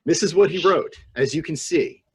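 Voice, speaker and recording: A man with a husky voice joking deadpan in a casual comedic panel chat. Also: on the high side, normal speed. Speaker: podcast panelist. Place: remote video call.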